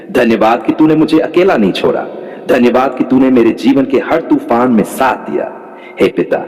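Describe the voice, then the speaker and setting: A man's voice: medium pitch, a little mellow. A man leading a scripted devotional prayer, speaking fervently in rhythmic, repeated declarations. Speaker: prayer leader. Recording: long hall reverb.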